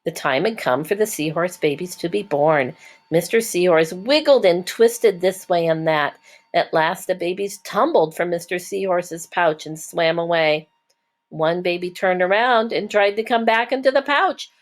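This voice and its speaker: A woman teacher narrating a children's storybook in a measured, explanatory way for young listeners. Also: on the low side. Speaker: teacher reading aloud.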